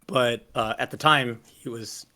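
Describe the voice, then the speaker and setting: A man with a low voice casually telling stories in an interview, rambling with lots of fillers and restarts. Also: fairly even intonation, unhurried, bright, forceful tone. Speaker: singer interviewee. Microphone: video call.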